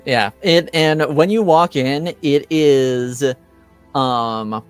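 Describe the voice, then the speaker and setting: A man improvising the narration of a tabletop game as game master, halting and searching for words. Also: mid-range voice, clean tone, soft background music.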